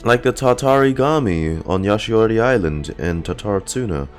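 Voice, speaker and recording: A man's voice: lowish voice, lively intonation, moderate pace, soft background music. A man giving casual reaction commentary as a game streamer, thinking aloud with some hesitation.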